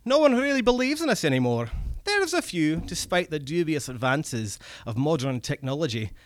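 A man voicing an old male goblin, a red cap, with a gruff, folksy manner. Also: fairly high pitch, very animated, voice pushed up. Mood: wistful. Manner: gruff, folksy, drawn-out swearing.

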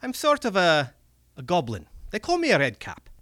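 A gruff old goblin, as a man puts him on for a storybook reading. He talks in a high, swooping voice, leaning on his swearing and his "lad". The sound is close and dry. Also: wildly swooping pitch, fairly bright tone, dry recording, heavily acted. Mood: teasing. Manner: gruff, folksy, drawn-out swearing. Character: old male goblin red cap, wistful and gruff.

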